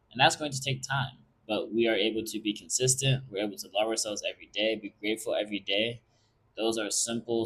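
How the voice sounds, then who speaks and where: A man with a fairly low voice gives a motivational talk as a podcast host. He addresses the listener directly in short phrases. His delivery is earnest and encouraging. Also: sharp tone, slightly rough, poor audio. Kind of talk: motivational self-help talk.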